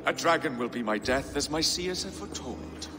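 A man's voice: fairly high pitch, expressive, normal speed, long reverb tail, background noise, close to an everyday voice. An actor playing a proud, stern medieval king who speaks with haughty scorn. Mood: scornful. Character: proud stern male Welsh king, middle-aged.

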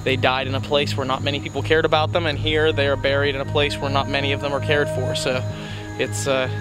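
A man's voice: medium pitch, flat delivery, fast, music in the background, poor audio. A young man, hosting a casual outdoor vlog, talking upbeat to a handheld camera while walking. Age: young adult. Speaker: vlog host. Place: outdoors by a creek and cemetery. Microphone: handheld camera at arm's length.

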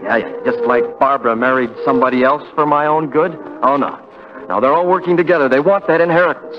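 A man's voice: medium pitch, quick pace, lightly acted. An actor playing a bitter, self-pitying, paranoid young man in a 1940s radio melodrama, sarcastic and repeating himself. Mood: paranoid, agitated. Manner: sarcastic, muttering repeats, dramatic. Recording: dull vintage 1940s radio recording.

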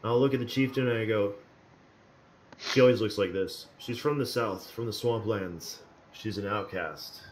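A man's voice: lowish voice, normal speed, slight background noise. A man playing a tabletop game over voice chat, casually describing his actions and then speaking in character. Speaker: player.

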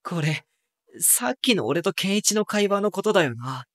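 A man playing a wry, self-mocking high school boy who narrates an anime audio drama with dry asides. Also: sing-song, normal speed, a bit bright, no echo. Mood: uneasy.

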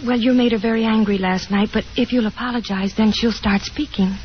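An actress playing a shy, fragile young daughter, timid and pleading, in a 1950s radio drama. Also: female voice, a little high, fairly even intonation, brisk, muffled recording, close to an everyday voice. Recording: band-limited 1950s radio, faint hiss.

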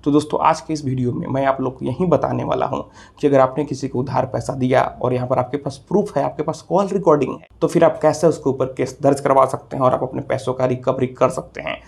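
A man in his thirties talks as an instructive video host, explaining things step by step. His voice is mid-pitched and husky, with little melodic movement, and he often circles back over his phrases. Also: steady tone, fast talker, a little mellow. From India. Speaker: legal advice video host. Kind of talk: explanatory legal advice to camera. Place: small office room, indoors.

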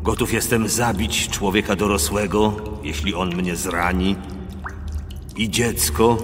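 A vengeful, boastful husband in a biblical audio drama. He speaks slowly and menacingly in a lowish voice, in long reverb with loud dripping water around him. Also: steady tone, a little hiss or hum.

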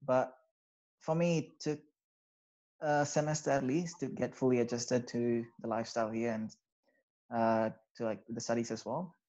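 A man's voice: medium pitch, moderate pace. A young man from Sri Lanka, an undergraduate student, answering interview questions casually with many fillers over a video call from a small bedroom. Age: young adult. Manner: relaxed, hesitant, many fillers. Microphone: video call with wired earphones. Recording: slightly dull video-call audio.

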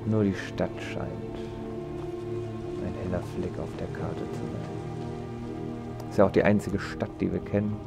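A man's voice: sits low, fairly even intonation, a little slow, vocal fry, pauses often, loud music behind the voice. A man giving casual let's play commentary, rambling and joking irreverently.

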